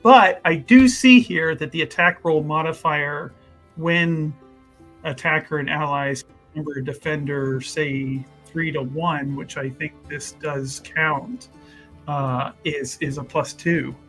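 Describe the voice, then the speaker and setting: Man, game player, casual jokey chat, fairly slow pace, light background music. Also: on the high side, a bit bright. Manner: jokey, laughs often.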